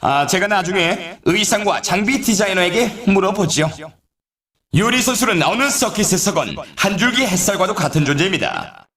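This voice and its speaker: A man's voice: high-pitched, big pitch swings, moderate pace, a bit bright, exaggerated voice. A man playing an upbeat snowboard race announcer in a video game, with a dramatic, showy commentary delivery. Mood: enthusiastic. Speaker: sports announcer. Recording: echoey, distant sound.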